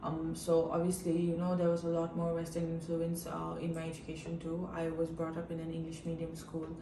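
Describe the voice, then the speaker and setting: A woman's voice: sits low, completely flat delivery, fairly quick, noisy background. A young woman from India whose first language is Nepali, thoughtfully answering interview questions with many fillers. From India, Darjeeling. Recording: echoey room, from a distance.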